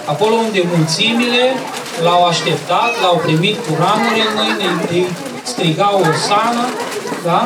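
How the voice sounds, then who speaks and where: A woman hosting a children's event, telling a story didactically, repeating phrases and checking that listeners follow. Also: mid-range voice. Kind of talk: live Bible storytelling to children. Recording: echoey, distant, very noisy crowd recording.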